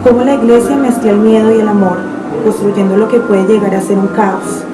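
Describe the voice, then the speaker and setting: A woman's voice: mid-range voice, fairly even intonation, a little fast, natural voice. Inquisitive, mistrustful female outsider for radio drama, played by a woman; reflective, slightly distant, echoing. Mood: wary, questioning. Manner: reflective, questioning monologue. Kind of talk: amateur radio drama narration. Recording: echoey, distant, loud music behind.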